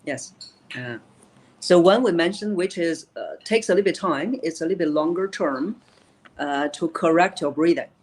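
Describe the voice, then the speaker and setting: A woman's voice: on the low side, normal speed, darkish tone, low-quality recording. A woman voice coach in a live interview, explaining vocal technique in an instructive way. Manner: instructive, demonstrates voices while teaching. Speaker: voice coach and keynote speaker.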